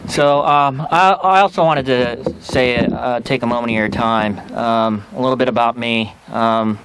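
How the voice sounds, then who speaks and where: A man from the Philippines, an army veteran, giving a personal speech at a rally in a halting way with many pauses and fillers. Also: mid-range voice, fairly bright tone, a little hiss or hum, poor audio.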